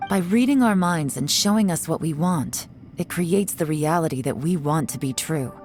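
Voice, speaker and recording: A woman voicing a cool, shrewd female investigator in a video game, measured, composed and knowing. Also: sits low, animated, a little mellow. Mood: composed, analytical. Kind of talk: English game dub voice acting. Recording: game music behind the voice.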